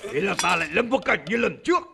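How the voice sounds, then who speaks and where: An actor playing an arrogant, hot-tempered enemy commander in an animated historical film, with a haughty, commanding manner. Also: male voice, high voice, fast talker, creaky, full character voice. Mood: grim. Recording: loud film score over the voice.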